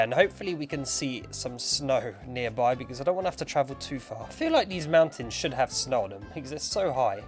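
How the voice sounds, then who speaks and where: Young guy with a blocked nose and a croaky voice, chatty gaming commentary, faint music behind. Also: medium pitch. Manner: chatty, upbeat, thinking aloud while playing. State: congested, partly lost voice from illness. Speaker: gaming YouTuber. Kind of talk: casual Minecraft commentary.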